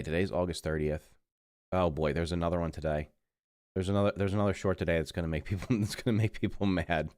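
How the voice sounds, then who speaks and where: Low-voiced congested man chatting on stream. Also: a little mellow, no room sound, clean studio sound. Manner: rambling, self-correcting, mutters while busy. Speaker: livestreaming gamer. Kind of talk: casual live stream chat.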